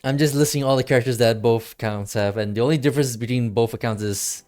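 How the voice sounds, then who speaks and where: A man streaming a game with casual commentary, thinking aloud in a halting, rambling way and repeating his phrases, with game music behind him. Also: medium pitch, a little mellow.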